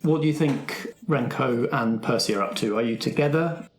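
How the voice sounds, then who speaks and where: A man narrating as game master in an improvised roleplay, with a creaky voice. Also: medium pitch, normal speed. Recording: roomy, faint noise.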